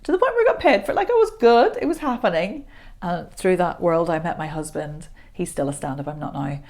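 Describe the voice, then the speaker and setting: A woman, a voice coach chatting as a podcast guest, self-deprecating and quick to laugh. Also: lowish voice, lively intonation, darkish tone. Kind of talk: casual podcast conversation.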